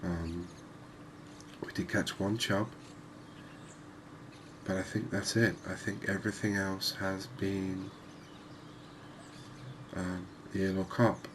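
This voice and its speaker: A man with a low-pitched, flat voice talks through a game as its host. He is unhurried and conversational, musing in an easy ASMR commentary style. Clear background noise sits behind him. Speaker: gaming video host. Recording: clearly noisy background ambience.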